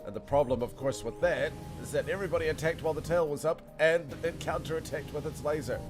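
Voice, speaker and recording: A man's voice: fairly high pitch, fast. A man streaming a game, chatting casually and rambling to his viewers with frequent laughs. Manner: chatty, rambling, laughs often, talks to chat. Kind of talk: casual gameplay commentary. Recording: game music under the voice. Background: game music.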